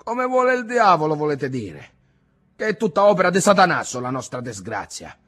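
A man voicing a bitter, rebellious young man in an audiobook, loud and scornful. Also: mid-range voice, natural voice. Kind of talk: audiobook character reading.

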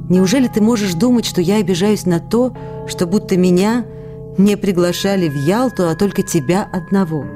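A woman's voice: lowish voice, close to an everyday voice. An actress playing a loving, wounded young wife, reading her letters in an intimate, earnest manner in a radio play. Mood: hurt.

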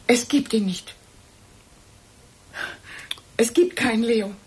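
Radio drama casting: higher-voiced, very breathy woman, evasive and desperate, halting delivery. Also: darkish tone, full character voice. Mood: agitated, confessing. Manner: halting, pleading, defensive. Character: distraught cornered woman, evasive, pleading, guilt-ridden. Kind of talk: German radio play acting.

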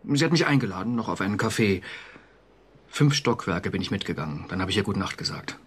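An actor in a German radio drama playing an anxious, conscientious civil servant, confessional and agitated. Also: male voice, on the low side, expressive, a little fast, slight background noise, lightly acted. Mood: haunted, confessing.

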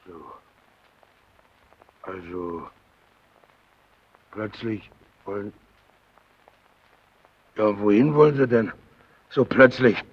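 A man's voice: on the low side, expressive, very slow, pauses often, slightly rough, full character voice. An actor playing a domineering, suspicious watchman who needles and questions insistently, in old radio drama style. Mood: incredulous. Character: domineering, suspicious fellow watchman, bullying and mocking. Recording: dull, band-limited old radio recording.

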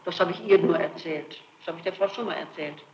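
A woman's voice: fairly low pitch, fairly even intonation, fairly quick, a little mellow. A dull, echoey 1960s tape recording carries the voice of a middle-aged woman under questioning. She answers insistently and defensively, often breaking off to repeat herself, with faint noise behind her. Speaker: suspect being questioned. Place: indoor room, archival interrogation tape. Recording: muffled, noisy 1960s tape in an echoey room.

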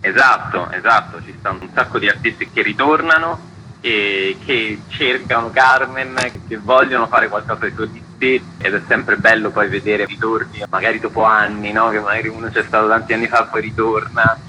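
A man's voice: lowish voice, fairly even intonation, moderate pace, sharp tone, a little hiss or hum, poor audio. A man talking enthusiastically and conversationally in a radio interview as an event organiser. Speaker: festival organiser being interviewed.

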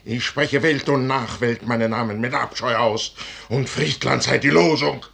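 An actor playing a proud, brooding army commander in a 1950s German radio drama, declaiming gravely and deliberately with a gravelly voice. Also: male voice, mid-range voice, fairly even intonation, a bit bright. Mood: defiant, anguished. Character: proud, brooding male army commander torn before a fateful decision.

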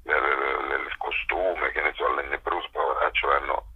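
A man's voice: rumbling low, level tone, normal speed, husky, phone-quality sound. A man talking casually and enthusiastically in an interview, in a rambling, colloquial way, as a festival director.

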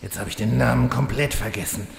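A man playing a vain, gloating villain demon hunter with a deep gravelly voice in a German fantasy parody audio drama. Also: a little fast. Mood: flustered, vain. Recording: echoey reverberant hall.

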